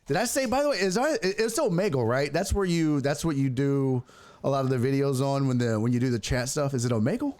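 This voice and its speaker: A man's voice: medium pitch, quick pace, darkish tone, dry recording. A man with a mid-range voice hosting an online talk show. He chats in a loose, easygoing way, drops casual swears, and laughs easily along with his guest. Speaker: sports talk show host. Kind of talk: casual interview chat.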